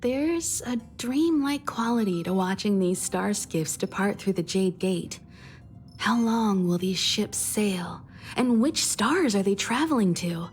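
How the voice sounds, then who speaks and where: A woman's voice: mid-range voice, lively intonation, a little slow, faint music behind, close to an everyday voice. A woman voicing a dreamy, contemplative woman in a video game.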